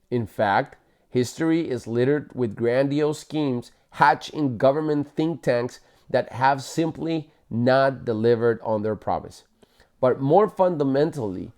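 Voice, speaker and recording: A man with a mellow, relaxed voice at a middle pitch reads aloud from a book as a parent would at bedtime. His delivery is explanatory and informal, and he now and then corrects his own wording. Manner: explanatory, stumbles and corrects himself while reading. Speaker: parent reading aloud to children. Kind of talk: bedtime read-aloud of a book, with casual asides.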